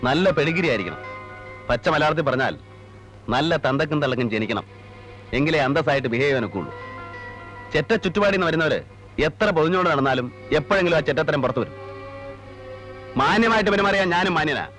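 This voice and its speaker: A man's voice: on the high side, expressive, quick pace, long pauses, fairly bright tone, faint music behind. An actor playing an arrogant, cruel, domineering man in a film drama, scornful, with an Indian Malayali accent. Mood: sneering. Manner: scornful, mixes Malayalam with English outbursts. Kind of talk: heated film drama dialogue.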